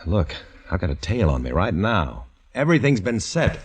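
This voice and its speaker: A man's voice: sits low, very animated, normal speed, husky, exaggerated voice. An actor narrating as a tense undercover man posing as a communist, in hard-boiled 1950s radio drama style, on an old broadcast with music behind. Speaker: narrator and lead.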